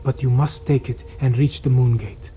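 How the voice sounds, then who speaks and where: An actor playing an earnest, remorseful cat-folk warrior in a video game, solemn and speaking of himself in the third person. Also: male voice, medium pitch, steady tone, moderate pace, warm tone, a little hiss or hum, lightly acted. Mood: solemn, resolute.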